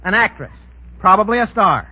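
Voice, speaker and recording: A man with a husky voice, a guest crime expert giving scripted explanations in a 1930s radio interview. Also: sits high, sing-song, normal speed. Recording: dull, lo-fi 1930s radio recording.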